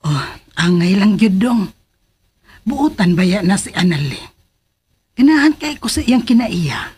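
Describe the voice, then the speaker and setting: A woman playing a concerned, kindly older aunt in a radio drama, with a husky voice and a Cebuano Filipino accent. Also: medium pitch, sing-song, a little slow, darkish tone. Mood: approving.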